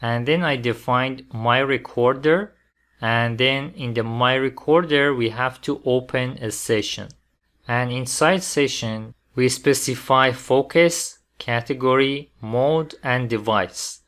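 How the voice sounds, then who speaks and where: A man presenting a programming tutorial step by step, phrasing things deliberately in short, halting fragments. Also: medium pitch, a bit bright. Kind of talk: step-by-step software tutorial narration.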